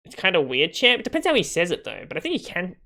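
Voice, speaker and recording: A man's voice: high-pitched, huge pitch swings, fast, vocal fry, pushed and bright. A man giving casual livestream gaming commentary in a slangy, sweary, bantering way. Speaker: livestream gamer.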